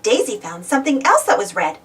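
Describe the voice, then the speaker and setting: High, very animated woman reading a storybook. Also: brisk, distant mic. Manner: expressive, simple delivery for young children. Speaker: storybook reader. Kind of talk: scripted read-aloud children's storybook narration.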